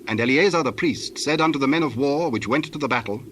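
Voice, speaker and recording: Solemn male scripture narrator, medium pitch. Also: fast, a bit bright, slight background noise.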